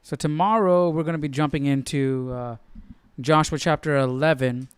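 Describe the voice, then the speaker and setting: Guy chatting casually on a stream, smooth clear voice, dry room, slangy and kinda halting as he figures stuff out. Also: a little high, fairly even intonation, dry recording. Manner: informal, slangy, halting as he works things out. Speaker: host of a live Bible study stream. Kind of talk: casual chat, thinking aloud.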